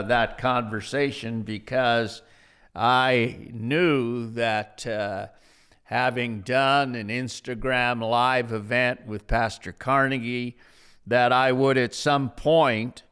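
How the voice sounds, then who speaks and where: A man in his seventies hosting an unscripted interview podcast as a pastor, speaking in a deliberate, halting way with long pauses. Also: mid-range voice, fairly bright tone, clear, resonant tone. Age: 70s. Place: at a dining room table in a house.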